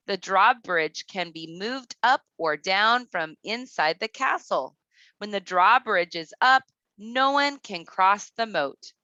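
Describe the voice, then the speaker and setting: Bright-voiced woman reading slowly to young children. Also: mid-range voice, expressive, no echo, dull audio. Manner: deliberate, instructive, addresses children directly. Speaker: kindergarten teacher reading aloud. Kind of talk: read-aloud to young children with teaching asides.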